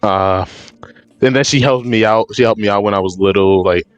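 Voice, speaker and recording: A man's voice: fairly low pitch, moderate pace, smooth, muffled recording. A man in casual podcast chat, talking in a loose, slangy way with stumbling restarts.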